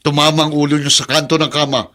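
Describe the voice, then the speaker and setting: An actor playing an irritable, blunt grown son in a radio drama, nagging in an exasperated way. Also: male voice, a little high, level tone, quick pace, bright, forceful tone. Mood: annoyed scolding. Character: irritable, blunt adult son scolding elderly father.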